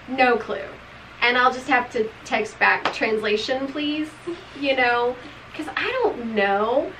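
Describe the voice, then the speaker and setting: A woman's voice: fairly high pitch, slow, noisy background. A middle-aged woman chats loosely and at length about a novel, circling back on her thoughts and laughing along the way. She sits in a room at home, speaking naturally to her co-host on camera. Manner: chatty, rambling, laughs often. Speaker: book club co-host. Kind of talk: casual book review chat.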